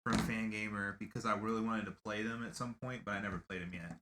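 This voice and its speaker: A man's voice: lowish voice, fairly even intonation, fast. A man co-hosting a casual podcast chat, talking loosely and trailing off mid-thought. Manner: loose, trailing off, lots of fillers. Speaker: podcast co-host. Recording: clear background noise.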